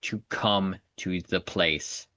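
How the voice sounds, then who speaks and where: A man's voice: deep voice, fairly even intonation, takes it slowly, bright, forceful tone, no room sound. An American man talking earnestly in a casual podcast conversation, often repeating and rephrasing his points. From United States. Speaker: podcast guest, comedian. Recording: dull, dry lo-fi audio.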